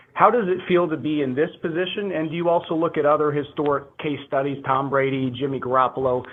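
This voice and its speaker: A man, a sports reporter, asking a prepared question on a press conference call. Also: on the high side. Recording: tinny phone-like conference line.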